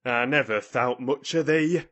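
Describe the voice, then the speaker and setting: A man voicing an indignant old Yorkshire gardener who harangues loudly in dialect, for a storybook reading. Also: medium pitch, measured pace, natural voice. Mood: indignant scolding. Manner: haranguing, loud and outraged.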